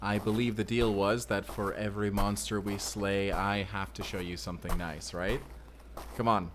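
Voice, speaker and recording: Man playing a low-voiced, tender vampire slayer. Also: level tone, moderate pace, a bit bright, slight background noise, natural voice. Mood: playful, reassuring. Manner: speaks closely to one listener, teasing and soothing. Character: young male Spanish vampire slayer, teasing, tender protector. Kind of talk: cinematic audio roleplay acting, intimate.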